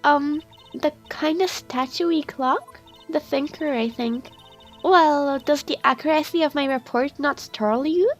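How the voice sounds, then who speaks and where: A coquettish young woman on the witness stand in a courtroom game. Her voice sits high and she turns stammery and flustered easily. Music plays underneath. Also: unhurried. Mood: insistent. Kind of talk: visual novel game fandub.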